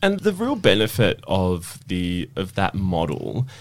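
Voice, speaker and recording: A man's voice: low voice, huge pitch swings, measured pace, no room sound. A man explaining his fundraising work earnestly on a podcast. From Australia. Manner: earnest, explanatory, starts with "so look". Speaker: guest, fundraising manager. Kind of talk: podcast interview.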